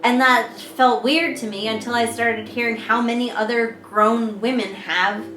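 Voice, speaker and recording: A young woman with a high, squeaky voice, co-hosting a podcast in casual chat, laughing easily and restarting her sentences. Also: fairly even intonation, measured pace, noisy background. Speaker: podcast co-host. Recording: roomy echo with music playing behind.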